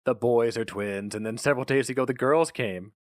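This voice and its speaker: A man's voice: medium pitch, brisk, no echo, clean studio sound, lightly acted. A man voicing an urbane young male job applicant who is polite, eager and affectedly casual. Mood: earnest, polite.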